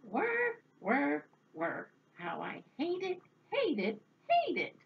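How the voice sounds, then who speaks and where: Woman acting out storybook old woman, high swooping whine, grumbling, exasperated, drawn-out words. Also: big pitch swings, creaky, highs cut off, exaggerated voice.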